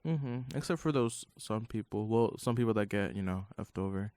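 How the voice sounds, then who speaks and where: A man's voice: lowish voice, moderate pace, a little mellow, no room sound. A young man co-hosting a casual college radio chat, telling stories in a laid-back way with chuckles. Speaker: podcast co-host.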